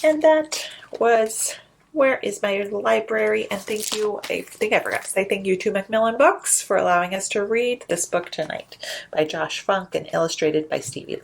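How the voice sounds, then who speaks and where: A woman hosting a children's storytime, reading aloud in an expressive, deliberate storytelling manner. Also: mid-range voice, gravelly, a little hiss or hum, poor audio. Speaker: librarian storytime host. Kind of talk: expressive read-aloud of rhyming children's picture book.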